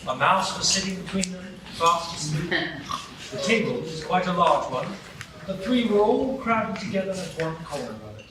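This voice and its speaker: A man narrating a storybook radio play in a measured, storytelling way. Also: high voice, expressive, noisy background. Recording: roomy live stage, distant mics.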